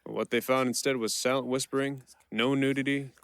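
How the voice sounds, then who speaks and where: A man playing a quick-witted prisoner who narrates his own tale in a first-person audio play. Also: mid-range voice, flat delivery, fairly bright tone, smooth, no room sound, natural voice. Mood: relishing storyteller.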